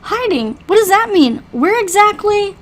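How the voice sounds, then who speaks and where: A woman's voice: very high-pitched, sing-song, normal speed, pitched above the performer's own voice. A woman voicing a frightened, injured young heroine in a visual novel, urgent, exclaiming and insistent. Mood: confused, anxious. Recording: faint background noise.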